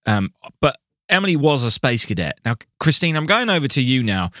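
A man hosting a podcast, asking a guest questions in a measured way with some fillers. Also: medium pitch, sing-song, a bit bright, dry recording, clean studio sound. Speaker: podcast host. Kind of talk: interview questions.

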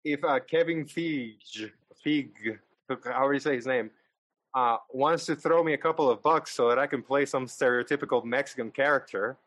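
A man's voice: medium pitch, normal speed, a bit bright, dry recording, low-quality recording. A man co-hosting a casual film podcast, rambling with fillers and laughing often. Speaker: podcast co-host.

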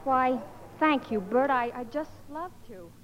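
A woman's voice: sits high, expressive, measured pace. An American woman playing a spirited, dutiful young adult daughter in a 1950s radio comedy. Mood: polite. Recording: muffled, very noisy old radio recording.

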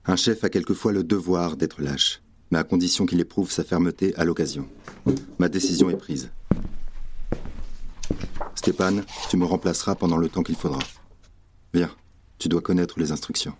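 Man acting a deep, stern rebel leader. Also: normal speed, long pauses, noisy background, close to an everyday voice. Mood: commanding. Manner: terse, grave, authoritative. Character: stern, decisive male leader of revolutionary cell. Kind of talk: French radio theatre acting.

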